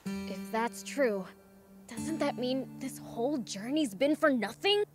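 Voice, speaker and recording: A woman's voice: high voice, lively intonation, slow. A woman voicing a brash, cocky young demon boy prince in a video game cutscene. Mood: impatient. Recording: loud music behind.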